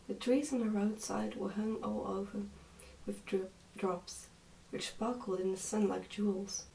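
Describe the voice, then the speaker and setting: A woman reading a storybook as soft-spoken, measured ASMR narration. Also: mid-range voice, fairly even intonation, gravelly, roomy sound, far from the mic, a little hiss or hum, poor audio. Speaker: storybook reader.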